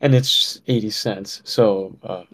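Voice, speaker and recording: A man co-hosting a podcast, talking casually with many fillers, often dropping quieter at the ends of his thoughts. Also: on the low side, slow. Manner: relaxed, lots of fillers, trails off quietly. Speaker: podcast co-host.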